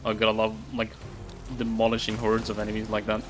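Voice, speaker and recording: A man's voice: lowish voice, monotone, fast talker, over music. A man giving casual, rambling live gameplay commentary as a game streamer, easily impressed by what happens.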